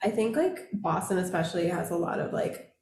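A woman from Massachusetts chatting as an interview guest, animated and laughing easily. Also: fairly low pitch, fairly quick, slight background noise. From Massachusetts, USA. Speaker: guest designer. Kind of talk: casual interview chat. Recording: echoey room.

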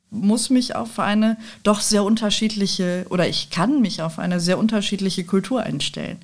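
Candid woman, conversational. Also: mid-range voice. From Rhineland, Germany. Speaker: podcast guest, technical sales professional. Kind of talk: conversational interview, telling anecdotes.

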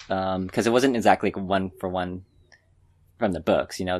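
A man co-hosting a podcast, talking casually and hesitantly as he gives informal opinions in a meandering way. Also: low-pitched. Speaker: podcast co-host. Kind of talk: casual chat, informal film review.

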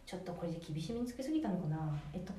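A woman's voice: on the low side, lively intonation, rapid-fire, dark tone. A woman hosting a casual game segment on a show for voice actors, chatting informally. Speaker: host and challenge writer on a voice actors' web show. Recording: roomy echo, distant from mic.

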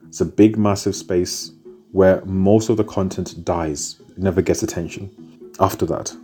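Man, deep voice, mellow tone, explanatory talk, repeats phrases for emphasis. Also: steady tone, a little slow, faint music behind. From born in Zimbabwe, raised in the United Kingdom. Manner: repetitive, building lists for emphasis. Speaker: content marketing speaker. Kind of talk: explanatory talk on content strategy.

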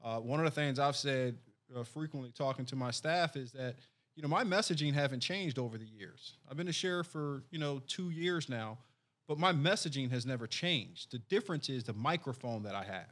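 A man, a sheriff, hosting a podcast interview in an earnest, measured way with frequent restarts. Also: mid-range voice, animated, fairly bright tone.

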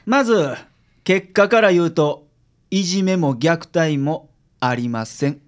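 A man with a Kansai accent acting a confident, frank private detective in a radio drama, explaining things in a measured way. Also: fairly high pitch. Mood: calm, formal. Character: confident, frank male private detective reporting calmly to a client. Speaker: amateur radio drama actor.

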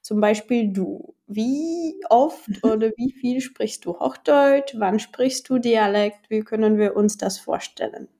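Slow, mellow woman host. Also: a little high. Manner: encouraging, many listening murmurs. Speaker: podcast host, German teacher. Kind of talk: casual interview.

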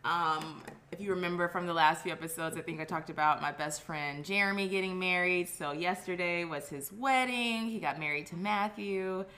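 Bright-voiced bubbly woman podcast host. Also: on the low side, normal speed, hardly any pauses. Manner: bubbly, laughing, chatty with fillers. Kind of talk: casual podcast chat.

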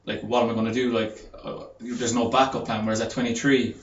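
A man's voice: medium pitch, flat delivery, fairly quick, a little hiss or hum. A man in his twenties from Ireland, a gym owner and personal trainer talking on a podcast, rambling with many fillers and restarts. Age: 20s. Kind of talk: casual podcast chat. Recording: roomy, dull sound with faint ambience.

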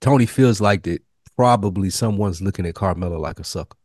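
A man's voice: sits low, a little fast, a little mellow, no echo, clean studio sound. A man co-hosting a casual podcast, asking questions in a playful way. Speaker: podcast co-host. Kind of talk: casual chat.

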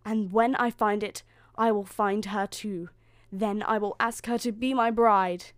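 A woman's voice: medium pitch, steady tone, measured pace, no room sound, lightly acted. An earnest young storybook prince, voiced by a woman, wistful and smitten. The sound is dry, with very little room around the words. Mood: determined.

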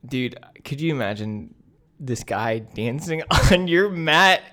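A man's voice: medium pitch, expressive, slow pace, pushed and bright. A young man co-hosting a podcast, bantering casually and dropping in joking interjections. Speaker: podcast co-host. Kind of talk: casual chat, reacting and bantering.

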